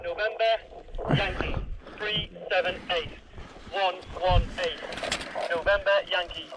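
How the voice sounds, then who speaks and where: A man's voice: sits high, steady tone, slow. A man relaying short messages as a radio operator, heard through a walkie-talkie. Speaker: radio operator of another hiking group. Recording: very noisy walkie-talkie transmission outdoors.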